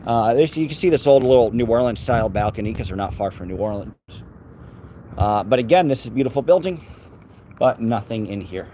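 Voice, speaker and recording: A man's voice: medium pitch, expressive, brisk, warm tone, a little hiss or hum, rough recording. Rambling man streaming from city streets. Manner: rambling, talks to his dog and chat. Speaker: vlogger walking his dog. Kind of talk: casual live-stream chat.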